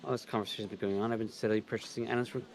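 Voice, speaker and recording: A man's voice: fairly low pitch, fairly even intonation, rapid-fire, gravelly, slight background noise. A man co-reading a visual novel on a livestream, moving between read lines and casual commentary. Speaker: co-reader on a game livestream.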